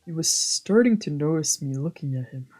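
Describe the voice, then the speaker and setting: A woman's voice: sits low, lively intonation, normal speed, darkish tone. A woman streamer reading visual novel narration aloud on a let's play. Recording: music behind the voice.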